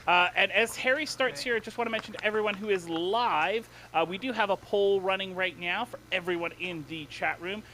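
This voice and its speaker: A man hosting a gaming podcast in casual chat, excitable and playful, laughing often. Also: high-pitched, brisk, few pauses, crowd noise. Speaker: podcast host.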